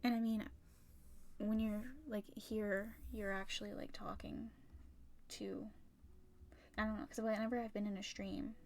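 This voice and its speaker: A young woman chatting casually and rambling on a livestream while drawing, swearing freely, her voice a little rough and raspy from talking for a long time. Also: mid-range voice, level tone, measured pace, pauses often, darkish tone. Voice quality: slightly raspy. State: tired, sore throat from long talking. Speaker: art streamer.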